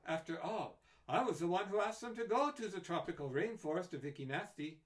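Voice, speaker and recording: A man's voice: sits high, lively intonation, a little fast, voice pushed up. Man doing a dotty, fussy little lady scientist. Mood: earnest. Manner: strange soft accent, fussy exclamations.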